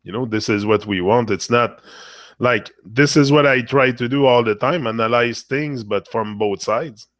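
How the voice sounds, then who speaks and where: A man who is losing his voice, hosting a casual spiritual monologue and talking in a rambling, halting way with frequent fillers and repeated phrases. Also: mid-range voice, fairly bright tone, dry recording, clean studio sound. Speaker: motivational channel host.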